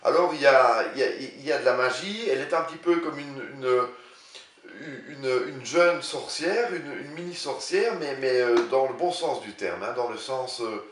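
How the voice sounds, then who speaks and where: A man in his sixties talks about his own writing, pausing and repeating words while he searches for the next phrase. His voice sits at a middling pitch. The room rings around him and he sounds a little back from the microphone. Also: a bit bright, far from the mic. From Belgium. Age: 60s. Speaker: author. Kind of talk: interview answers. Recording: roomy sound, voice a bit off-mic.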